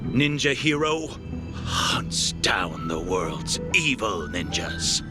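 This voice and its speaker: A man's voice: lowish voice, very animated, slow pace. An actor playing an earnest, conscientious male laboratory researcher in a video game, with an emotive manner. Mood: matter-of-fact. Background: game music.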